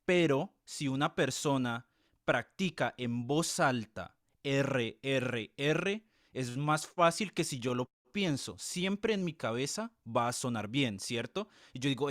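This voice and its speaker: A man with a Colombian Spanish accent answers interview questions carefully, laying out his points one by one and giving examples. His voice sits at an ordinary middle pitch. The recording is dry and close, with almost no room sound. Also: lively intonation, no echo. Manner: measured, explains with examples and tag questions. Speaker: tutor and music teacher being interviewed. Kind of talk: thoughtful explanatory interview answers.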